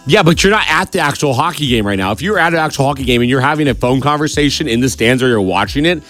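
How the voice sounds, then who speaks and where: A young man hosting a community radio show, talking loudly and boisterously in casual sports chat. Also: mid-range voice, animated, fast talker, faint music behind. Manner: loud, boisterous, says he has a big voice. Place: radio studio.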